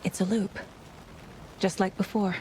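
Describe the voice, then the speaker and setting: An actress playing a determined FBI agent in a video game, thinking aloud in terse, low-key phrases. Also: female voice, little pitch movement, a little slow, background noise, natural voice. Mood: tense resolve. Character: determined female FBI agent investigating eerie murders. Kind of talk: video game acting, muttered investigative monologue.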